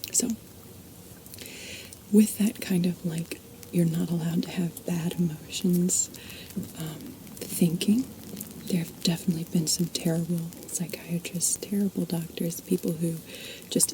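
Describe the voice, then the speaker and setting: A woman whispering softly in an ASMR video, chatting and wandering off on tangents as she explains things. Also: lowish voice, a little hiss or hum, low-quality recording. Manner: soft unvoiced whisper, chatty and digressive. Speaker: ASMR host.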